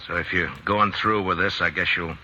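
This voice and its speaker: A man playing a steady frontier town marshal in a vintage-style radio drama, speaking calmly and firmly. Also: low-pitched, moderate pace, lo-fi sound. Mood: calm resolve. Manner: calm, firm, gentle. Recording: noisy audio.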